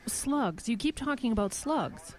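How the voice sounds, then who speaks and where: A woman's voice: fairly high pitch, animated, unhurried, a little mellow. Actress as thoughtful, haunted monster-attack survivor woman. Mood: curious, reasoning. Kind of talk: horror audio drama acting.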